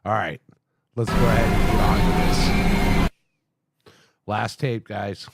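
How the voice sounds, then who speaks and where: A man's voice: lowish voice, fairly even intonation, slow, creaky, heavy background noise. A man hosting a reaction video with casual commentary, thinking aloud and addressing his viewers informally and directly.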